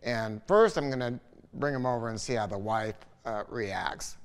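An older American man in his sixties talks off the cuff with a smooth, resonant voice. He is opinionated and a little salty, wandering through his points like a storyteller. His voice rings with long reverb in a big, high-ceilinged room. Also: normal speed. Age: 60s. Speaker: audio dealer presenting a speaker review. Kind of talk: casual, unscripted product talk to camera. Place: large living room at home, indoors.

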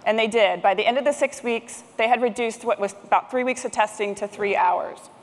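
Higher-voiced woman presenting in a hall. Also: a little fast. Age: middle-aged. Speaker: conference speaker, technology manager. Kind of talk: prepared conference presentation. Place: large conference stage, indoors. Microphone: headset microphone. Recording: long hall reverb.

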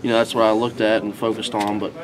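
Young man, slightly low voice, halting, lots of fillers, recorders up close, crowded scrum behind. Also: little pitch movement, a crowd in the background, poor audio. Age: young adult. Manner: halting, many fillers and restarts. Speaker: college football linebacker. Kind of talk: post-practice media scrum answers. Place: indoor hallway, crowd of reporters. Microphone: reporters' handheld recorders held close.

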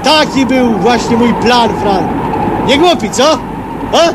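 A man's voice: very high-pitched, lively intonation, slow, exaggerated voice. An actor playing a deranged, gleeful killer in a radio play, taunting his prey with mock friendliness. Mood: gloating, mock-friendly. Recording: very noisy old radio drama recording.

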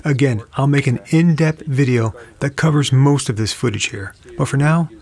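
A man's voice: mid-range voice, moderate pace, a little mellow. Man narrating a travel vlog. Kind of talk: scripted voice-over narration.

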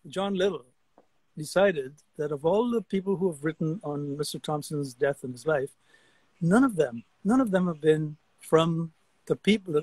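Older man in his sixties, fairly high voice, dry close sound, animated storyteller on a video call. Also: dry recording, low-quality recording. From Guyana. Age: 60s. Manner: animated storyteller, frequent repeated words. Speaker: guest artist. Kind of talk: casual video-call conversation. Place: outdoor deck among trees. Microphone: video call webcam.

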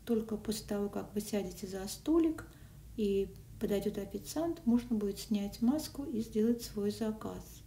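Soft-spoken woman vlogging on a phone. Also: mid-range voice, expressive, normal speed. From Russian-speaking country. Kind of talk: casual travel and food vlog narration. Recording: echoey, from a distance, faint noise.

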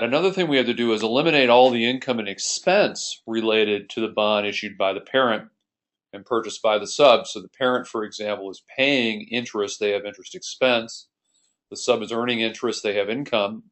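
A man's voice: on the low side, fairly bright tone. An American man giving an explanatory screencast lesson as an accounting instructor, explaining methodically and step by step.

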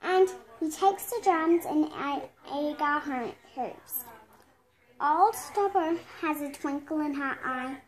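A girl of about eight reads a picture book aloud. Her voice is high and childlike, and she takes the words slowly. The reading is halting, with stumbles along the way. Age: child, 8 years old. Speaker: young reader. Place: indoor room at home.

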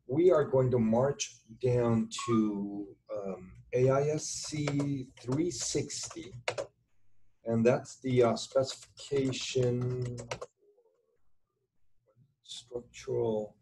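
A middle-aged man giving an informal tutorial as an instructor at his computer, halting and deliberate as he thinks aloud. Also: mid-range voice, noisy background. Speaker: structural engineering instructor. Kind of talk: informal tutorial explanation over screen share. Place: indoors at a computer, webcam.

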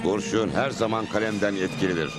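A man's voice: fairly low pitch, steady tone, a little fast, over loud music, lightly acted. A man voicing a smug, pompous crooked newspaper villain in a Turkish-dubbed cartoon. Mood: plotting.

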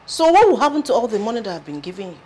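A woman's voice: medium pitch, animated, normal speed, close to an everyday voice, rough recording. An actress playing an anxious, evasive mother with money, hesitant and repeating herself in a drama. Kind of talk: film drama dialogue.

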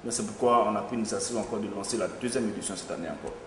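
A young man with a lowish voice answers questions as an event organizer, halting often on fillers. He is recorded in an echoey studio with a long reverb tail and a faint hiss. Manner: hesitant, many fillers, lively bursts. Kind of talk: interview answers. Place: small studio with logo backdrop.

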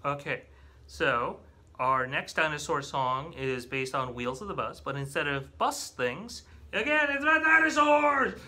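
Bright, forceful American man hosting children's storytime. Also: a little high, a little slow. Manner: exaggerated, animated delivery for young children. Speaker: children's librarian and storytime host. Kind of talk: children's storytime, leading rhymes and movement songs. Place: small indoor meeting room with whiteboard.

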